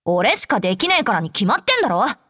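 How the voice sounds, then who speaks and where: An actress playing a brash, hot-tempered young female fighter with rough, curt tomboyish speech, in anime game voice lines. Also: medium pitch, very animated, fast talker, bright, forceful tone, dry recording, studio quality. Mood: gruff, curt. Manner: rough, curt masculine speech, easily flustered.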